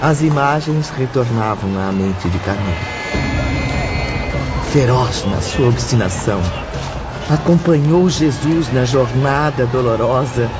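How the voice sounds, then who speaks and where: Slow, grave male narrator. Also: medium pitch. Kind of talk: scripted dramatic audiobook narration. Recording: muffled, music behind.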